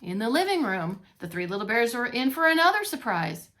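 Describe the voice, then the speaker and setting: A woman's voice: fairly high pitch, sing-song, brisk, noisy background. A woman reading a story aloud to young children as a preschool teacher, expressively and with playful asides. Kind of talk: expressive children's read-along narration.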